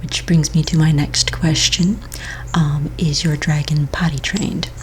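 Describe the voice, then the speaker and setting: A woman speaking in a soft, breathy near-whisper, playing a courteous, patient office manager in a gentle ASMR roleplay. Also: low voice, steady tone, a little slow, background noise. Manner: gentle, polite, reassuring clerical patter.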